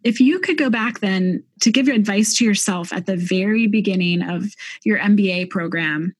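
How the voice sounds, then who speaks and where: A woman hosting an interview podcast, asking questions in a gentle, affirming, slightly halting way. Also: mid-range voice, no room sound. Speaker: podcast host.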